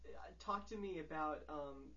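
A man's voice: a little high, moderate pace, sharp tone, highs cut off. A man interviewing for an oral history tape, asking questions and clarifying spellings for the record.

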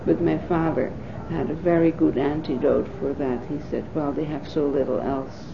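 A woman in her fifties from the Netherlands, a native Dutch speaker, recalling her life in an oral history interview with thoughtful pauses and fillers. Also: deep voice, fairly even intonation, vocal fry, a little hiss or hum. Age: 50s. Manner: thoughtful, pausing with frequent fillers. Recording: dull lo-fi 1980s tape recording.

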